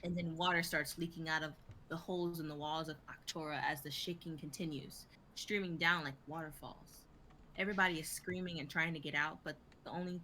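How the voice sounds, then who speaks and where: A woman's voice: sits low, pushed and bright, a little hiss or hum, rough recording. A young woman reading stage directions as scripted narration in a live drama reading. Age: young adult.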